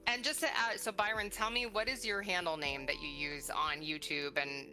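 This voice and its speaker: A woman's voice: lowish voice, level tone, moderate pace, bright, forceful tone. A middle-aged woman conducting a casual interview in an encouraging, conversational way. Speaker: interviewer, mother of the creator. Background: music.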